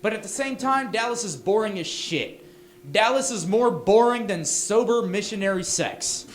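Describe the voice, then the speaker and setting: Texan male comic, high voice, punchy and loud, stumbles over restarts, echoey room. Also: expressive, moderate pace, noisy background. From Texas, USA. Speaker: stand-up comic. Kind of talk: stand-up set. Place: small bar venue.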